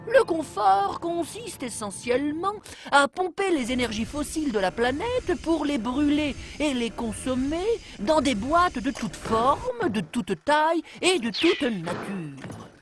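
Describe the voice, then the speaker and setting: Woman, scripted cartoon narration, storybook telling, unhurried pace, lively intonation, slightly husky, deliberate phrasing. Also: high-pitched, music in the background.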